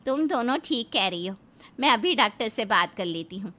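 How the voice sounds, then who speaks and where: Casting: actress as cartoon wife, very high voice, defiant, aggrieved, assertive drama. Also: female voice, animated, normal speed, bright, forceful tone. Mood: concerned. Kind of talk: Hindi moral-story cartoon dialogue.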